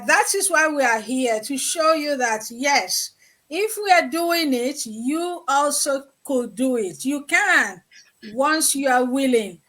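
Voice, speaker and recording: Nigerian lady hosting an online show, high bright voice, slow and upbeat. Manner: encouraging, motivational. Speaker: show host. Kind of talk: online interview chat.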